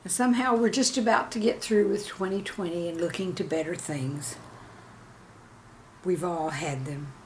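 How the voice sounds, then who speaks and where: A woman reading scripted stories and verse as a channel host, with a measured, deliberate delivery. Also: fairly low pitch, darkish tone, a little hiss or hum. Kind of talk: scripted storytelling and poem reading.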